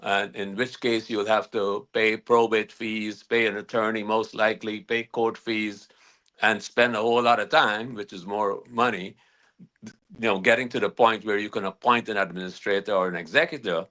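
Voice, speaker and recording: Man, fairly low voice, from guyana, attorney guest, measured, halting. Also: fairly even intonation, bright, forceful tone. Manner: measured, halting, with many restarts. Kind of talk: explanatory interview answers.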